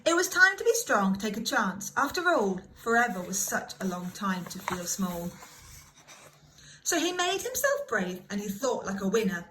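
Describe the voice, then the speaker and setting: A woman reading a children's story aloud in an expressive, dramatic way, like a primary school teacher. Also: mid-range voice, moderate pace, a little hiss or hum, poor audio. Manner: animated, dramatic emphasis.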